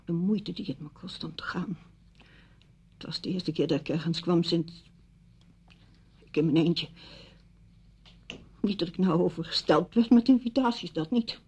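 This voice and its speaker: Bitter hurt woman, radio drama, lowish pitch, husky, reproachful, intense. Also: a little slow, pauses often, mellow. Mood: bitter, reflective. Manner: reproachful, emotionally intense monologue. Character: bitter, wounded single woman confronting her friend.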